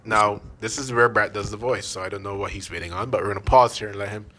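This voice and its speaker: Bajan male host, bright hype delivery. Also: on the low side, fairly even intonation, fast. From Barbados. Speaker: radio co-host. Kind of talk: casual countdown chat.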